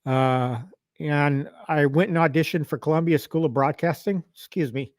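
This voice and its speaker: A man's voice: on the high side, normal speed, dry recording. Chatty man with a dry, close voice. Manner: rambling, with many restarts and fillers. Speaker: host of an arcade livestream. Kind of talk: casual livestream chat. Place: home arcade room. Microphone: lavalier mic.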